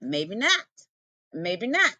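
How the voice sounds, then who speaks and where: A woman's voice: mid-range voice, huge pitch swings, extremely slow, sharp tone, no echo, heavily acted. A woman voicing a mean old male snake in a folktale, wheedling and pleading with a sly hiss. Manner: hissing, wheedling, repetitive. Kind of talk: animated folktale storytelling.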